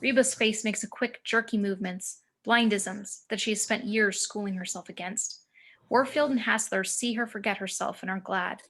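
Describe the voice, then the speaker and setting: Bright-voiced woman narrating a screenplay. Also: mid-range voice, fairly even intonation, moderate pace. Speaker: narrator of action descriptions.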